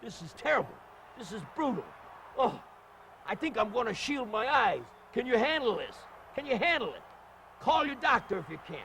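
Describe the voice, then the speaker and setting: High-pitched, creaky male wrestling commentator. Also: very animated, moderate pace, sharp tone, slight background noise. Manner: hyperbolic, exclamatory showman patter. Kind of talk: excited wrestling match commentary.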